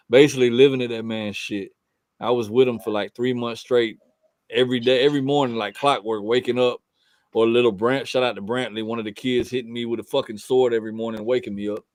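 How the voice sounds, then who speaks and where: A man with a relaxed Southern drawl talks easily about his life, swearing often and circling back on his words. His voice sits in an ordinary middle register. The sound is close and dry, with no room echo. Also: mid-range voice, a little fast. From Fort Pierce, Florida. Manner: laid-back, profane storytelling with frequent restarts. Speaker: guest artist. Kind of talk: casual podcast chat.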